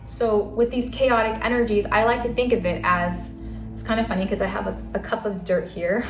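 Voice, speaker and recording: A soft-spoken woman giving a casual spiritual talk to camera in a calm, earnest way. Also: medium pitch, normal speed. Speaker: spiritual channel host. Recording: roomy echo, faint music.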